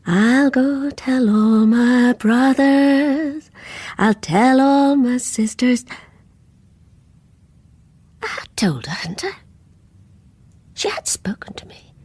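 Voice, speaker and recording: A woman's voice: sits high, darkish tone, no echo, heavily acted. An English actress playing a guilt-ridden, obsessive woman in a radio drama, intense and confessional in dialogue and intimate narration. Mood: dreamy, unburdened. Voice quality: breathy, whispery.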